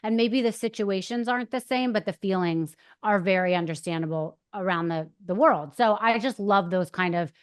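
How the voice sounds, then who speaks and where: A woman's voice: mid-range voice, normal speed, few pauses, smooth. A woman hosting a podcast in casual chat, encouraging and talkative. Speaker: podcast host.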